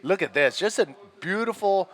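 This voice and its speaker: A man co-commentating live, upbeat and excited as he calls the moves. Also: high voice, normal speed.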